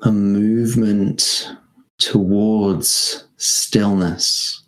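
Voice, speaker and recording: A man with a low voice leads a guided meditation at a very slow pace. His delivery is gentle and spacious, with short phrases set apart by long pauses. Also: fairly even intonation, low-quality recording.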